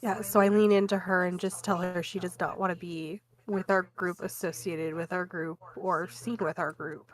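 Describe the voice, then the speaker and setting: A woman's voice: fairly low pitch, steady tone, moderate pace, hardly any pauses, low-quality recording. A woman playing a tabletop roleplaying game, casually switching between describing actions and speaking in character.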